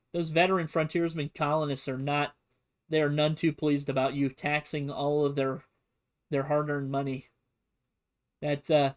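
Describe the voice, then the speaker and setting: A man streaming a casual, comedic history lesson, full of sarcastic and playful asides. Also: fairly high pitch. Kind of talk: casual, comedic history lecture to a livestream audience.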